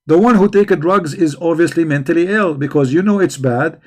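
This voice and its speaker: A man's voice: on the high side, brisk, a little mellow. A man hosting a religious debate stream, answering viewers' questions combatively and with mockery, often repeating words as he searches for phrasing. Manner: combative and mocking toward questioners.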